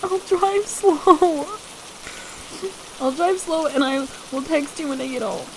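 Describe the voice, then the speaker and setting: A woman performs a frightened, apologetic young woman in an intimate roleplay drama. Her voice sits high, and she pleads in halting, repeated phrases. Steady rain is clearly heard around her. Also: rough recording. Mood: anxious, urgent. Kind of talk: intimate audio roleplay acting. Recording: steady rain noise over the voice.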